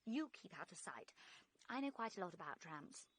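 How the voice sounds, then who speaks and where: An actress playing a brisk, forthright young English heroine in a vintage radio drama. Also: female voice, fairly low pitch, big pitch swings, a bit bright, slight background noise. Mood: brisk, scolding. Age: young adult.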